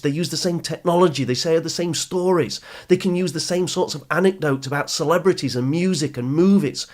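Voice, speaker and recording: Husky-voiced man giving motivational advice. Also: a little high. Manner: emphatic, deliberate teaching delivery with stressed key phrases. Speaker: podcast host and youth coach. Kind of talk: motivational advice talk to teenagers.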